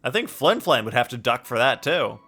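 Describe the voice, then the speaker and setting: A young man doing casual live-stream commentary. His voice is bright and medium in pitch with very lively swings, playful and jokey, over faint game music. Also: moderate pace. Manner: playful, jokey, reads chat aloud.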